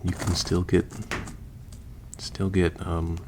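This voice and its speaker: A man's voice: deep voice, level tone, slow, noisy background. A man playing a chatty, easygoing surgeon who patches up the undead, explaining casually as he works in a listener roleplay.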